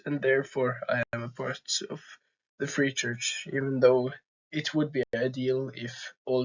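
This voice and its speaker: Hesitant Norwegian-accented man, slow and earnest. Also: medium pitch, dull audio. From Norway. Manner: hesitant, many "uh" fillers, earnest. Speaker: channel host. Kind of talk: casual talking-to-camera vlog.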